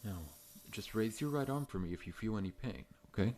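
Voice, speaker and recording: A man playing a massive, friendly but faintly menacing scorpion-man dentist in an ASMR roleplay, speaking in a gentle, reassuring, politely formal way. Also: on the low side, animated, brisk, a little hiss or hum, close to an everyday voice. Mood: calm, reassuring. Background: background music.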